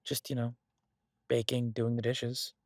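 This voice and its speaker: A man performs a mafia boss cooped up and restless, doting on the one he talks to. His medium-pitched voice is recorded very dry, with no room around it. He speaks conversationally, with playful exasperation and a swearing gangster's swagger, as in an intimate audio roleplay. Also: completely flat delivery, a little slow, dry recording, close to an everyday voice. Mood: casual, sheepish. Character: male mafia boss, doting, restless, stuck baking in a safe house.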